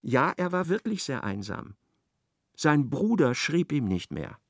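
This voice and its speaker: Ein Mann als Erzähler eines literarischen Hörspiels. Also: male voice, on the high side, sing-song, measured pace, no echo, clean studio sound, exaggerated voice. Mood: measured narration. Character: expressive male narrator of a literary radio play. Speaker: narrator. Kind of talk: literary radio play narration.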